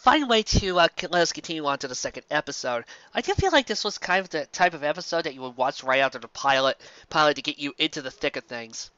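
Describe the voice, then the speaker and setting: A man hosting a fan fiction series, narrating and commenting casually in an enthusiastic, halting, stumbling way. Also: fairly high pitch, animated, pushed and bright, dry recording, dull audio.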